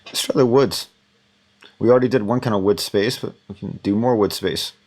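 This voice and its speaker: Low-voiced man explaining a mix as he works. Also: moderate pace. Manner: relaxed, rambling, many fillers. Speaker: audio instructor. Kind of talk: thinking-aloud mixing demonstration.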